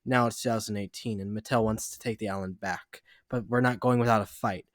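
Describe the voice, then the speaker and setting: A man's voice: on the low side, fairly even intonation, fairly quick, dry recording, studio quality, close to an everyday voice. A man playing a solemn male duke in an amateur dramatic reading, declamatory as he addresses his subjects. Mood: grave.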